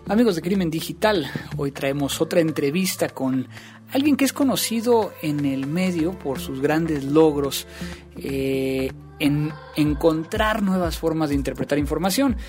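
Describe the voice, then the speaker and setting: A man's voice: on the high side, lively intonation, unhurried, music in the background. A man, hosting a podcast and talking casually, with frequent fillers and restarts. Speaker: podcast host.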